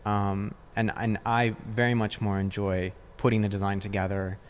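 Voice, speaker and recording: A man with a fairly low voice talks as a guest in a casual interview. He speaks in a hesitant way, feeling for the right words as he explains things. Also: little pitch movement, normal speed, a little hiss or hum. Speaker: podcast guest, design business partner. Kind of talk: casual conversational interview answers.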